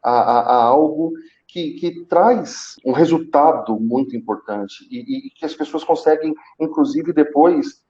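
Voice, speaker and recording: A Brazilian man speaking Portuguese with a Brazilian accent, telling his own story conversationally as an interview guest, haltingly, with repeated words and pauses. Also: a little high, lively intonation, mellow, highs cut off. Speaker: guest, call center senior manager. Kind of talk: conversational personal account in a live interview.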